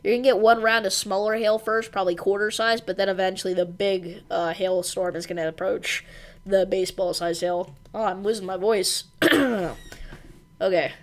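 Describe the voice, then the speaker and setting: A woman hosting a live weather stream, briskly reading out storm warnings in an informal way with some fillers. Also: mid-range voice, level tone. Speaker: weather livestream host. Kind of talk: live severe weather coverage.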